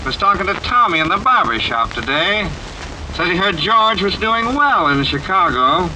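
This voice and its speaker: A man's voice: high-pitched, sing-song, moderate pace, sharp tone, heavily acted. A man playing a slick, insinuating rat of a fellow in old radio drama style. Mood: smooth, insinuating. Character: slick, smooth-talking rat who whines when cornered. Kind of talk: 1940s radio acting. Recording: distant, noisy 1940s radio recording.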